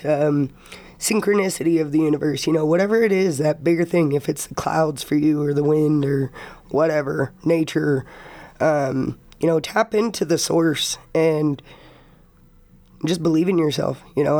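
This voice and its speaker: A hoarse young woman, a student guest on a college radio interview, speaking earnestly and reflectively while sick. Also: low-pitched, warm tone. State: hoarse from illness. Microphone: studio microphone.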